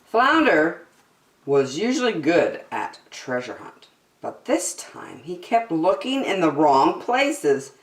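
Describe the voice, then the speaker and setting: Animated, slow-reading woman storyteller. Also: on the low side. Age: middle-aged. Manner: animated, deliberate. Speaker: children's story time host. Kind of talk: expressive picture-book read-aloud. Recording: slightly echoey room.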